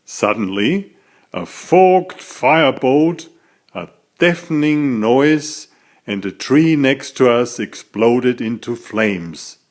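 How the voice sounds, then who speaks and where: A man reading a children's story aloud as its author, in an expressive, deliberate storytelling manner. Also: mid-range voice.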